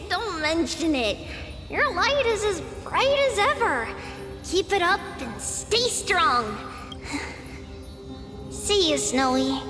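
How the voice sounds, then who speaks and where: Childlike spirit girl: squeaky-high, lilting, affectionate game voice, reverberant over music. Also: very animated, very slow, long pauses, exaggerated voice. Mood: fond, playful. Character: sweet, cheerful childlike female spirit, small and fondly encouraging. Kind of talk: scripted fantasy game voice acting. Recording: long reverb, music behind.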